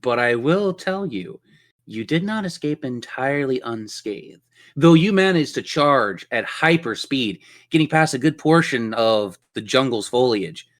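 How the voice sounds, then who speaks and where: A man's voice: medium pitch, fairly bright tone. A man improvising dramatic, descriptive narration as game master of a tabletop roleplaying game.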